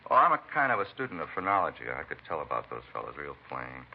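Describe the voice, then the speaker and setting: A beat-up american truck driver on old radio, deep voice, rambly and folksy, bit of a crank, hissy dull sound. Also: male voice, big pitch swings, quick pace, gravelly, exaggerated voice. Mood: confiding, opinionated. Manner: rambling, folksy, plain-spoken working man. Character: beaten truck driver, talkative, folksy, eccentric self-styled bump-reader. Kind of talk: 1940s-50s radio acting. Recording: muffled vintage radio recording, faint hiss.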